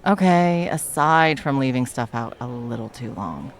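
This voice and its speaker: A woman's voice: very deep voice, lively intonation, measured pace. A woman playing a smug, nonchalant villain who works as a barista, dry and teasing in a comedic ASMR roleplay. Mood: casual, deadpan. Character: smug, deadpan young villain working as barista.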